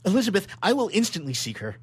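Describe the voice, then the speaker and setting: An actor playing an anguished, horror-stricken young scientist in a melodramatic radio play, declaiming in a halting, overwrought way. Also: male voice, high-pitched, sing-song, husky, heavily acted. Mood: longing, agitated. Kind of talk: melodramatic 19th-century stage acting in radio theatre.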